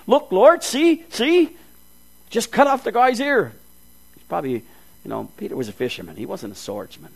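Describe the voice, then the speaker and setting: A man preaching a storytelling sermon, acting out scenes with folksy asides and swinging from loud emphasis to hushed, quiet lines. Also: on the high side.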